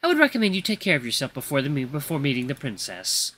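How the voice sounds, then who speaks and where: Performer as tall female castle servant, storybook drama, poised and imperious, bright animated voice. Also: medium pitch. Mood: stern. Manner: formal, measured, commanding.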